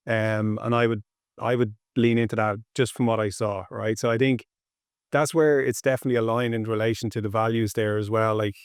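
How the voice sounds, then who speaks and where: A man's voice: medium pitch, no room sound, clean studio sound. An Irish man in his forties with an Irish accent, talking conversationally as a panel guest. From Ireland. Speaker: panel guest, tech company representative. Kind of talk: conversational interview answer. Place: indoor studio, seated on a sofa. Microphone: clip-on lapel.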